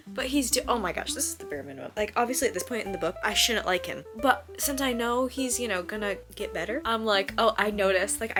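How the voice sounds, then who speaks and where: A young adult American woman chatting casually in a reading vlog, with an expressive, gushing fangirl delivery and frequent use of "like". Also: medium pitch. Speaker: book vlogger.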